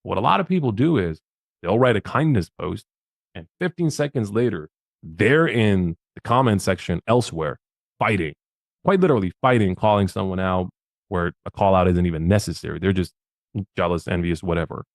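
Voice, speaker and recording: A man's voice: sits low, lively intonation, normal speed, gravelly, dry recording, studio quality. A man from Bosnia, a podcast guest, gives social media advice in a casual, emphatic way and often repeats his words.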